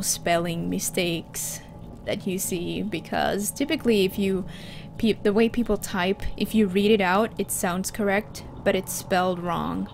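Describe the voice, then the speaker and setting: A woman's voice: lowish voice, gravelly, a little hiss or hum. A woman from Finland, a native Finland Swedish speaker, chatting casually on a livestream and answering her chat, with frequent restarts. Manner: chatty, restarts often, reads chat aloud. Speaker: streamer.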